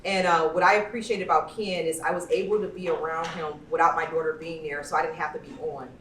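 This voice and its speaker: A woman's voice: deep voice, steady tone, quick pace, a crowd in the background. A woman moderating a panel informally, chatty, personal and effusive as she introduces people. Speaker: panel moderator and event host. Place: indoor event venue, amplified panel talk. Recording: echoey room, distant mic, faint noise.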